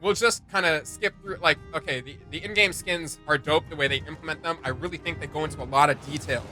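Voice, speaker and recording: Bright, excitable man reacting casually. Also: fairly high pitch, fast, faint music behind. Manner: animated, emphatic, slangy. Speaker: reaction video host. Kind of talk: casual opinionated reaction commentary.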